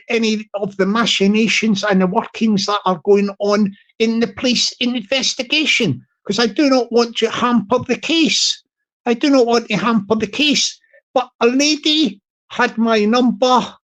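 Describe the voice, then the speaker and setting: A man with a high-pitched tenor voice, talking casually on his livestream and repeating his phrases as he rambles. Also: a bit bright. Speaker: YouTuber livestream host.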